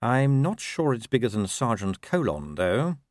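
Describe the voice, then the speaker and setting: Man acting a slow, dry, urbane city ruler. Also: medium pitch, dry recording. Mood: dry, measured. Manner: measured, deadpan, ironic.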